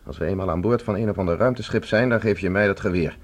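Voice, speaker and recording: A resolute fugitive professor in a sci-fi radio drama. He speaks low and a little husky, in clipped, commanding phrases that expect to be obeyed. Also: fast talker. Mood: firm, commanding. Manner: terse, imperative, gives orders. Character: commanding escaped professor, prisoner on Mars, urgent and resolute. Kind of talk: Dutch science fiction radio play acting.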